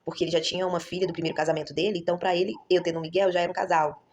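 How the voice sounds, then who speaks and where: A woman's voice: lowish voice, little pitch movement, racing, a little mellow, lo-fi sound, slight background noise. A woman, chatting to her viewers in a rambling vlog style and correcting herself often. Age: 30s. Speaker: vlogger, mother. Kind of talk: casual vlog storytelling.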